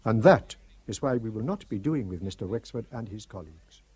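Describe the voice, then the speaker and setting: An actor playing an earnest Shetland father in a radio drama, speaking in a measured way. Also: lowish voice, expressive, warm tone, slightly rough. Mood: indignant. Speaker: islander on the committee.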